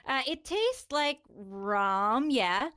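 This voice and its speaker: A woman's voice: on the high side, very animated, very slow, sharp tone, dry recording, clean studio sound. A woman from the Philippines co-hosting a podcast in casual chat, often repeating words as she explains things. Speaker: podcast co-host.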